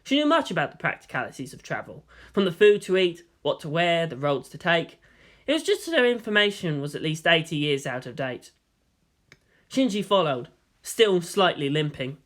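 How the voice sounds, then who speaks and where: Narrator: man with a lightly high voice, delivering prose fiction as a scripted read. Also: big pitch swings, moderate pace, fairly bright tone.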